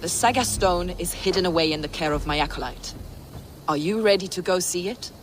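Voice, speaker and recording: A woman playing a devout, fervent medieval scholar in a video game, speaking in a formal, reverent, deliberate way. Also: fairly low pitch, background noise, natural voice. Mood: reverent, intrigued. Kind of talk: dramatic video game acting. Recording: music behind the voice.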